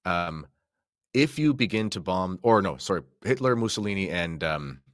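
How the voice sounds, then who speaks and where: A man's voice: low-pitched, animated, normal speed, dry recording, clean studio sound. A man co-hosting a podcast, telling stories in a casual, informal, somewhat hesitant way. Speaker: podcast co-host. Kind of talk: casual chat and storytelling.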